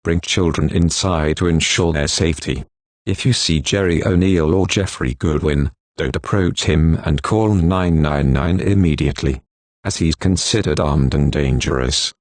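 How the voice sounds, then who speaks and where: Very deep male emergency alert announcer. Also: normal speed, dry recording. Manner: flat, formal, stilted synthetic delivery. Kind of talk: scripted emergency broadcast announcement.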